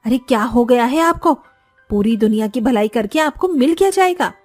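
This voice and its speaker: A woman's voice: a little high, lively intonation, moderate pace, a little mellow, faint music behind. A woman playing a greedy, scolding village wife in a moral story, full of exclamations.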